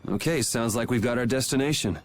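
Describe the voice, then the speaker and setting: A man's voice: mid-range voice, brisk, close to an everyday voice. A man playing a laid-back young swordsman in a video game, speaking in a dry, matter-of-fact way. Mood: calm, wry.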